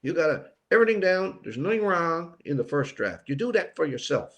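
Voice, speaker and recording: An older man from Newfoundland, a writer being interviewed, speaking reflectively with pauses and repeated words for emphasis. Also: a little high, sing-song, a bit bright, dull audio. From Newfoundland, Canada.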